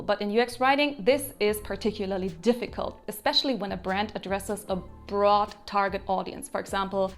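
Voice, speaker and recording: A woman from Germany, German her first language, hosting a casual explainer to the camera in her thirties, lively and emphatic. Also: mid-range voice. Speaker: educator and channel host.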